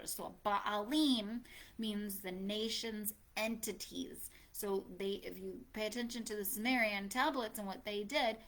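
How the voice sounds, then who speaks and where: A woman's voice: fairly high pitch, bright, forceful tone. A woman hosting a live audio room, speaking earnestly and quoting scripture in informal religious talk.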